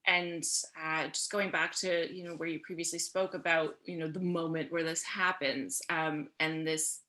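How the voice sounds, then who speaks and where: A woman's voice: deep voice, steady tone, moderate pace, bright, forceful tone. A woman interviewer chatting informally about a book, feeling her way through questions in hesitant, self-interrupting phrases.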